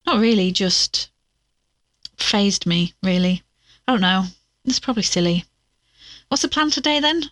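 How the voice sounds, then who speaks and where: A mid-pitched female voice in a very dry recording, an actress playing a troubled investigator in an audio drama. She is reticent and uneasy, questioning things while holding back. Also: lively intonation, measured pace, pauses often, fairly bright tone, no echo. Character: guarded, uneasy woman troubled by bad dreams.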